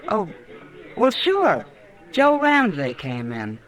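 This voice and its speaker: Lively, teasing saloon woman. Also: medium pitch, slow pace, soft background music. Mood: teasing, familiar. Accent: American. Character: friendly, teasing saloon woman of Old West. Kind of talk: old-time radio western acting.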